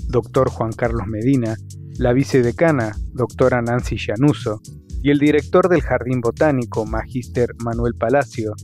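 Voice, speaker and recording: Man reading university news voice-over. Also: medium pitch. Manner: measured, formal reading. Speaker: news narrator. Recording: voice-over with soft music bed. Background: music bed.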